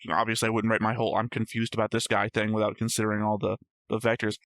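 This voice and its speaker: Low-pitched man, casually arguing theories. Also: completely flat delivery, fast talker, fairly bright tone, no echo, studio quality. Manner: argumentative, reasoning aloud. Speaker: co-host of an anime reaction show. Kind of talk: casual discussion of show theories.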